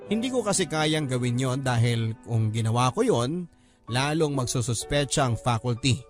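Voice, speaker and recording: A man's voice: medium pitch, expressive, moderate pace. A man with a Filipino accent reading a scripted first-person story as a radio drama narrator. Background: music.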